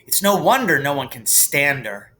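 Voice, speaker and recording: A hot-tempered schoolboy from a storybook, done by a man reading aloud. He is blunt and snappish, with a forceful edge to the voice. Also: a little high, big pitch swings, normal speed. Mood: grumpy.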